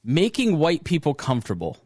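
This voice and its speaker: A man's voice: on the high side, huge pitch swings, brisk, darkish tone, no room sound, clean studio sound. A man hosting a podcast, asking curious questions in a casual discussion. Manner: curious, questioning, laughs often. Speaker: podcast host.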